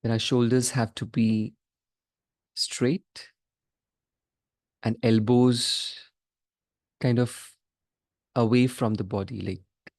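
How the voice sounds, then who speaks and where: A man leading a guided meditation as a teacher, giving measured instructions in short phrases with pauses between them. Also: medium pitch, little pitch movement, darkish tone, no echo.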